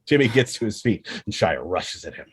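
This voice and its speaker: A man's voice: on the low side, very animated, fairly quick, husky, dry recording, studio quality. A man reading stage directions as the narrator of a screenplay table read.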